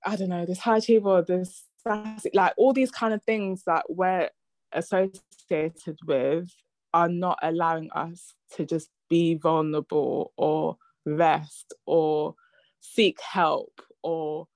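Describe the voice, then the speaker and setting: Reflective woman in her thirties, low voice. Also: steady tone, slow, darkish tone, dry recording. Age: 30s. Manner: reflective, thinks aloud with fillers and restarts. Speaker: podcast host. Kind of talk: casual conversation.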